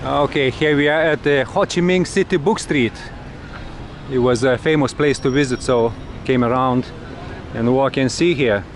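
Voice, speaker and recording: A middle-aged man from Europe narrating a travel vlog as he walks busy city streets, halting and pausing often on filler sounds. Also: mid-range voice. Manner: hesitant, halting, many filler pauses. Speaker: travel vlogger. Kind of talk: casual walking narration. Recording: outdoor street noise.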